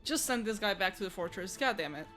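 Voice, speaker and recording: Young woman, game streamer, average pitch, casual, blunt, slangy asides, faint game music. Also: animated, fast talker, a bit bright. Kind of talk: casual live gameplay reaction.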